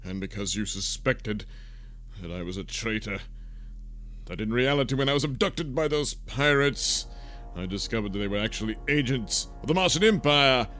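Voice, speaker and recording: A man's voice: mid-range voice, animated, normal speed, a bit bright, faint music behind, natural voice. A man voicing a smug, haughty traitor in an audio space drama, formal and supercilious. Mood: confessing.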